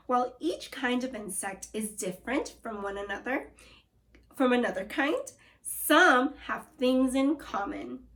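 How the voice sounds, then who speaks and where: Slow, high-voiced woman teacher reading aloud. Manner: slow, deliberate, addresses kids as scholars. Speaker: second grade teacher. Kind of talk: read-aloud lesson for children.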